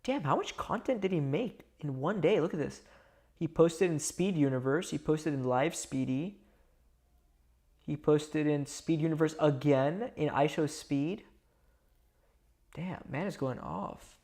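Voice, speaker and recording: A man's voice: a little high, measured pace, darkish tone. A man from India chatting casually on a livestream, rambling and talking to his viewers. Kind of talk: casual live-stream chat.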